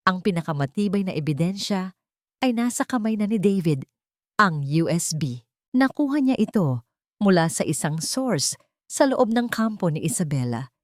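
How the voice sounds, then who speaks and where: A woman reading a scripted dramatic story in a measured, deliberate way, with short pauses for effect. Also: mid-range voice, darkish tone, no echo, clean studio sound. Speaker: story narrator.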